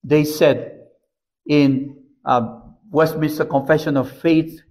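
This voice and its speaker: A man in his sixties preaching a sermon from a pulpit in a small church, slow and deliberate, recorded by a camera across the room. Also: on the high side, steady tone, a little mellow. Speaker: pastor.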